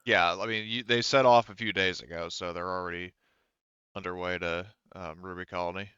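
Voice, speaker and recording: Deep, smooth-voiced man, slightly dull recording. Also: steady tone, bright, forceful tone, no echo. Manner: halting, searching for words. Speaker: game master. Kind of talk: casual explanation of game setting.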